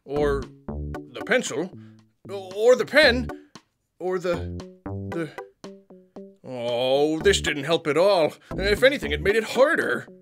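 A man's voice: fairly high pitch, sing-song, slow pace, long pauses, music in the background, exaggerated voice. A man voicing an indecisive, childlike, friendly monster puppet for a kids' show, exclaiming and drawing out words as he dithers. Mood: torn, dithering.

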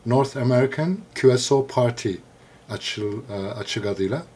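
A man's voice: medium pitch, darkish tone, slightly rough. Hesitant man giving a casual explanation. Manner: hesitant, explanatory, pauses between short phrases. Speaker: amateur radio hobbyist demonstrating a receiver. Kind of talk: casual unscripted screen walkthrough. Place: indoors, filming a computer screen.

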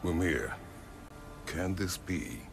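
An actor playing a stern, gruff warrior father in a game cutscene, gravelly and terse, giving short commands and questions. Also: sits low, lively intonation, takes it slowly, over music, full character voice. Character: stern, gruff, battle-worn warrior father, a man of few words. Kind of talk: video game cutscene acting.

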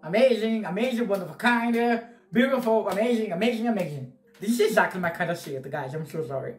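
Brazilian-accented man in his 30s, excitable reaction host, casual cursing, earphone mic in a roomy bedroom. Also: sits high, a little fast, soft background music. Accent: Brazilian Portuguese. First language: Portuguese. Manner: excitable, gushing, swears casually, gestures widely. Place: small bedroom, indoors. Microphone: wired earphone mic.

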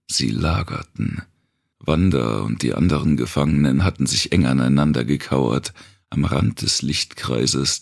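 Very deep, gravelly male audiobook narrator. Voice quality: gravelly, raspy. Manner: slow, deliberate, dramatic storytelling. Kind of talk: scripted narration of a dark post-apocalyptic thriller.

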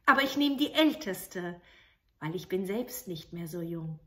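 A woman's voice: lowish voice, lively intonation, unhurried, bright, forceful tone. Storyteller lady doing an older soldier guy in a fairy tale, plain and matter-of-fact.

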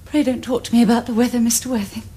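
A woman's voice: a little high, level tone, racing, warm tone, husky. A young woman with an upper-class English accent playing a poised, self-assured Victorian society lady in a period comedy, precise and affected. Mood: coolly assured. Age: young adult. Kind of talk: period stage comedy acting.

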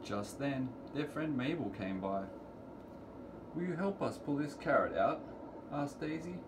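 A middle-aged man reading a children's picture book aloud to preschoolers in deliberate, sing-song storytelling phrasing, recorded in a room at home. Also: mid-range voice, lively intonation. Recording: clearly noisy home recording, room ambience.

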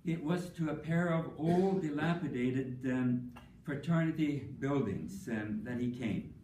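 Older man, conference panelist, prepared tribute speech, measured, dry humor, distant mic, echoey hall. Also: mid-range voice, lively intonation, mellow, slight background noise. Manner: measured, reads prepared remarks with frequent ums and dry humor. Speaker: panelist speaking at an academic tribute session.

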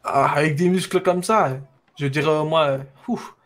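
A man's voice: fairly high pitch, normal speed, close to an everyday voice. A man playing a blunt, cheeky poor woodsman. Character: poor middle-aged immigrant woodsman, blunt and sly. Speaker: roleplay player. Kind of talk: in-character roleplay.

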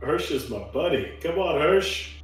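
A man's voice: fairly high pitch, expressive, unhurried. A man joking casually as a player in a tabletop roleplaying game. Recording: roomy echo, faint music.

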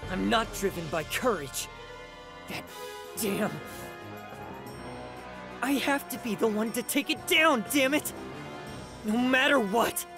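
An actor voicing a brash young male zombie fighter in an anime game, gruff, cocky and stubborn. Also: mid-range voice, lively intonation, takes it slowly, pauses often. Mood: defiant. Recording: loud music behind the voice.

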